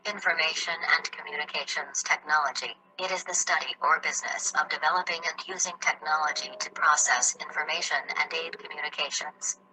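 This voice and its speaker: A woman's voice: sits low, steady tone, a little fast, hardly any pauses, bright, forceful tone, slight background noise, rough recording. A woman giving scripted narration for an explainer video, evenly reading out definitions.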